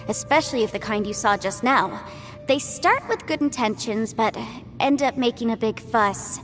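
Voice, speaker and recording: A woman voicing an earnest, thoughtful young female legal advisor who speaks in a measured, articulate way. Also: sits high. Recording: game audio with music. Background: game music.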